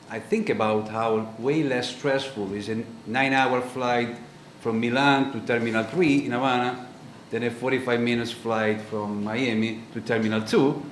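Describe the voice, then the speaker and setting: A man with a clear Italian accent speaks from a stage to an audience, his delivery halting and full of fillers. His voice sits at a middle pitch and carries the ring of a roomy auditorium. A faint hiss of noise runs underneath. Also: sharp tone. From Italy. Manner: halting, many ums and uhs. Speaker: panel speaker. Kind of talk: conference presentation. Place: lecture auditorium.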